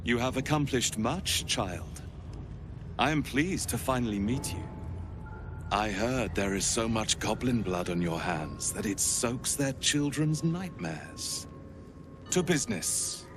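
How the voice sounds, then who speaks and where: An actor playing a cold, menacing male githyanki inquisitor who speaks in a measured, condescending way. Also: medium pitch, lively intonation. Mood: imperious. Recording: game audio with music behind.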